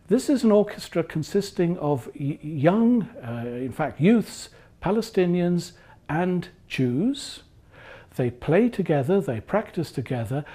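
An older man, a former economist turned philosopher, explaining his research in a measured way with frequent pauses. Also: high-pitched, warm tone, slightly rough.

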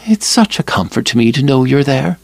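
A man voicing an anxious, chatty older mother who fusses over her daughter, in a high, fluttering voice. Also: big pitch swings, fairly quick, gravelly, no room sound. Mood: coaxing.

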